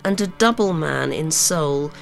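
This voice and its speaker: Woman, solemn scripted reading, formal, measured, gravelly creak, faint music. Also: deep voice, animated. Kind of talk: solemn scripted reading of an old religious text.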